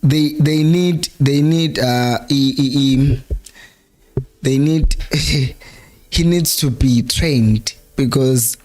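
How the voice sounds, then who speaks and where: A South African man hosting a fan live stream, talking casually about football, laughing often, his voice going hoarse. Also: mid-range voice, drawn-out words, a little mellow, faint music behind. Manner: chatty, laughs often, switches between languages mid-sentence. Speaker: fan channel host.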